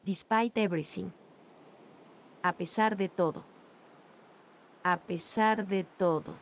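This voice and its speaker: A woman reading Spanish and English phrases slowly, one at a time, for language learners. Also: fairly low pitch, vocal fry. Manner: reads each phrase slowly with long pauses. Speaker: language teacher. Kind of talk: slow repeated phrase drills.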